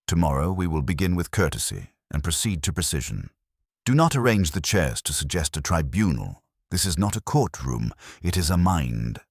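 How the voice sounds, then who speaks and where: A man's voice: rumbling low, big pitch swings, moderate pace, breathy edge, no echo, studio quality, exaggerated voice. A man voicing an imperious, cerebral detective in an audiobook, formal, precise and courteously cutting. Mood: commanding, measured. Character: imperious, cerebral middle-aged male master detective.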